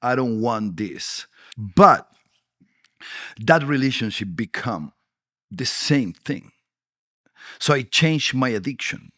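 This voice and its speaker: Middle-aged Argentine man, Spanish-native, halting English with restarts, dry close mic. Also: medium pitch, dry recording. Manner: halting, many restarts and fillers, animated retelling. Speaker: actor and producer guest. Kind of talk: candid podcast interview.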